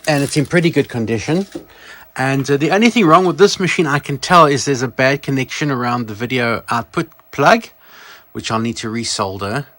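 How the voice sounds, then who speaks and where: A man's voice: medium pitch, lively intonation, fairly quick, a bit bright. A man casually presenting a piece of vintage electronics, rambling informally and correcting himself as he goes. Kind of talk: casual product show-and-tell.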